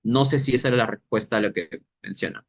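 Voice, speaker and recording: A man teaching an informal language workshop, explaining as he goes and pausing to search for words. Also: fairly low pitch.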